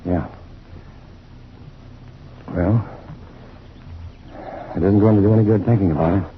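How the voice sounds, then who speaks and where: An American actor playing a calm, reassuring, educated scientist hero in an old-time radio drama. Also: male voice, deep voice, a little slow. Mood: brisk, easygoing. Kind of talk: 1950s radio drama acting. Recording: dull, lo-fi old radio, faint noise.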